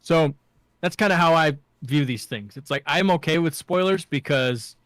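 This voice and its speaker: Higher-pitched male co-host, closely recorded. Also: normal speed, a bit bright, no room sound, clean studio sound. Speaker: podcast co-host. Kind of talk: casual pop culture discussion.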